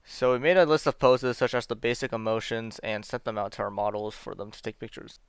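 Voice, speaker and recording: Low, smooth young man narrating. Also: steady tone, a little fast, no echo. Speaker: amateur game developer narrating a video. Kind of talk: scripted video narration.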